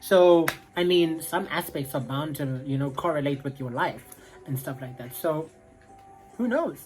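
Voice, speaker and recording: A man's voice: fairly high pitch, lively intonation, a little slow, over music, poor audio. A young man with a South African accent, chatting casually to camera in a rambling way full of fillers. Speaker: vlogger.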